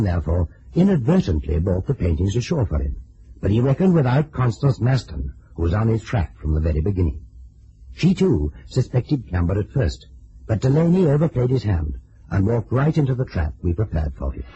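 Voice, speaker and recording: An actor playing a measured, courteous investigator in an old-time detective radio drama. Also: male voice, lowish voice, dark tone. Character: measured, courteous male investigator narrating a case. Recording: dull, slightly noisy vintage radio recording.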